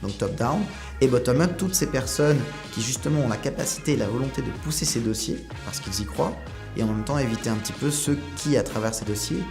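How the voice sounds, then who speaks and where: A man with a medium-pitched voice explains his view as an interviewee. Clear music runs behind his speech.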